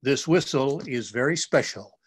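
Man as a slow-talking wise owl teacher. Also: medium pitch, expressive, husky. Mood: mysterious, encouraging. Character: wise, kindly male owl schoolteacher.

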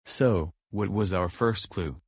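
A big, friendly cartoon dinosaur, acted by a man, speaking low and slowly. He is encouraging and plainly playful, like a children's show guide, over faint music. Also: husky, no room sound, clean studio sound, heavily acted. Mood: cheerful, encouraging. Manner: slow, simple, encouraging, goofy hums. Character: big friendly male purple dinosaur, cheerful playmate. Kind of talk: children's show dialogue, guiding young viewers.

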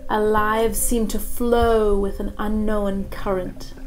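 A woman vlogger narrating reflective, poetic lines in a soft, measured voice-over. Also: mid-range voice, little pitch movement, a little hiss or hum.

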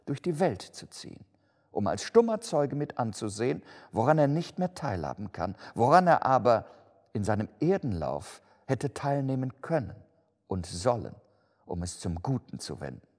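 A man's voice: medium pitch, sing-song, normal speed, darkish tone, pitched above the performer's own voice. A man voicing a stern, doomed male ghost in chains for a storybook, solemn, declamatory and lamenting. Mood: grave, reproachful. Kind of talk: dramatic storybook reading. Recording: long hall-like reverb.

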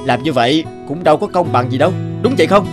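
A man's voice: a little high, animated, quick pace, music in the background, close to an everyday voice. An actor playing a crafty, stubborn older village man in a cartoon folktale, arguing in a pointed way. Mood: sly, reproachful.

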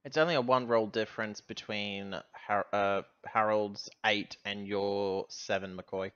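A man's voice: lowish voice, fairly even intonation, unhurried, a bit bright, smooth, dry recording, lo-fi sound. A man chatting casually as a player in a tabletop game.

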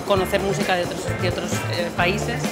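A woman's voice: lowish voice, level tone, a little fast, over loud music. A woman giving a testimonial in an event video, hesitating often with fillers.

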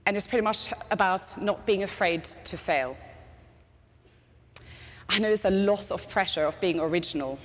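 A Norwegian woman with a mixed Norwegian and British accent, giving a lively, chatty conference talk on stage through a headset mic. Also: mid-range voice, moderate pace, pauses often. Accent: Norwegian with British English mix. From Norway. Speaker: conference speaker, agency CEO. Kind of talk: keynote presentation. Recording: long reverb of a large hall.

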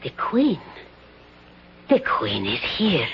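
An actress in a 1970s radio drama playing an anxious foreign palace maid who speaks broken, halting English. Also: female voice, rumbling low, wildly swooping pitch, noisy background, heavily acted. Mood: earnest. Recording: dull 1970s radio broadcast.

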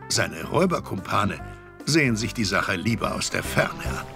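A man's voice: lowish voice, sing-song, moderate pace, creaky, pushed and bright, soft background music. A man narrating a children's audio drama as a scripted storyteller.

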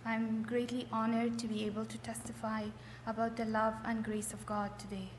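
A middle-aged woman from Afghanistan giving a personal testimony in short phrases, hesitant and often pausing. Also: fairly high pitch, little pitch movement. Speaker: church member giving testimony. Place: large echoey hall. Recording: echoey hall with faint noise.

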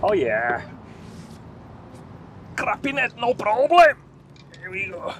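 A man's voice: high voice, wildly swooping pitch, takes it slowly, fairly bright tone. A man on a small boat, cheering on the fish he hooks and talking to his viewers. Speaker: fishing vlogger. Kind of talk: excited commentary while fishing. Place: small fishing boat on a river, outdoors. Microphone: camera mounted on the boat. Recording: noisy outdoor boat recording. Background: traffic and outdoor noise.

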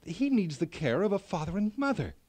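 A man's voice: high-pitched, big pitch swings, a little fast, a little mellow, dry recording, voice pushed up. A man voicing a worried, protective queen and mother in a children's fairy tale, with an anxious, pleading manner. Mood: pleading, doubtful.

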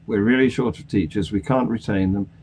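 Older British man, mellow and measured. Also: lowish voice, slight background noise. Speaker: panel discussion host. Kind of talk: conversational news discussion. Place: small attic room at home. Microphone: video call.

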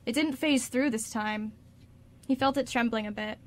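A woman narrates a radio drama from a script. Her voice sits slightly on the high side as she tells the story between the characters' lines. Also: normal speed, a little hiss or hum.

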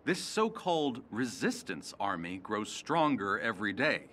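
An actor playing a grave, dramatic TV news reporter delivering a scripted report in a video game. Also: male voice, on the low side, big pitch swings, measured pace, sharp tone, heavily acted.

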